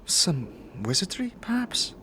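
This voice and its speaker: An actor playing a worried, protective warrior companion in a fantasy audio drama, earnest and emphatic. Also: male voice, high voice, sing-song, measured pace, pushed and bright, in a whisper, heavily acted. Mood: wary.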